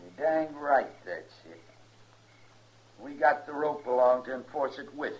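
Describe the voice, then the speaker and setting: A man's voice: mid-range voice, expressive, a little slow, lightly acted. A man with an American accent playing a defiant, taunting mob leader with folksy speech in an old-time radio western. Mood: menacing. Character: belligerent rabble-rousing western mob leader, a male drifter. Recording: muffled old radio recording.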